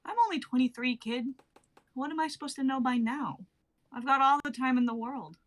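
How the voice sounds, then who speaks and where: A woman's voice: high voice, fairly quick, a bit bright. A young woman playing a bubbly, teasing twenty-three-year-old wife from 1950 in a dramatic reading, breezy and old-fashioned in her phrasing. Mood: assured, teasing. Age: young adult.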